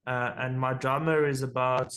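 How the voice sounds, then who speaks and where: Casual interview host, South African man, relaxed and jokey, swears freely. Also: medium pitch, moderate pace. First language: Afrikaans.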